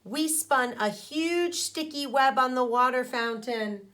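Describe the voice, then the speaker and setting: A woman's voice: high voice, fairly bright tone. A woman schoolteacher reading a children's book aloud, stepping in to explain and ask questions in a didactic way. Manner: didactic, pauses to question the young listeners. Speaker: elementary school teacher.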